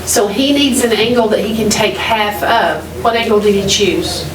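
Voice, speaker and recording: A woman's voice: medium pitch, moderate pace, far from the mic. A middle-aged woman teaching math in a classroom, questioning students and repeating key points in a directive way. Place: classroom, heard from across the room. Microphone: distant camera microphone. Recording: echoey classroom from afar, noisy.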